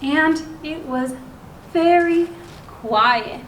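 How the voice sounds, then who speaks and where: A woman hosting a children's story time, reading aloud in simple, instructive language and pausing to put questions to her listeners. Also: high voice. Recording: roomy echo, clear background noise.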